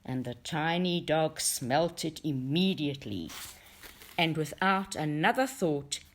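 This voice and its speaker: A woman reading a folktale aloud as expressive storybook narration, in a measured, dramatic way. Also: sits low. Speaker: reader of a folktale for a book study group.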